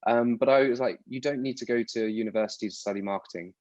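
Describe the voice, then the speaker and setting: A man from the UK in his thirties talks casually as a podcast guest, circling back and restarting his words. His voice sits at a middle pitch and comes through a dull, lo-fi signal. The sound is dry and close. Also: fast talker, darkish tone, no room sound. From United Kingdom. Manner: rambling, restarts words often. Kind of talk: casual interview answers.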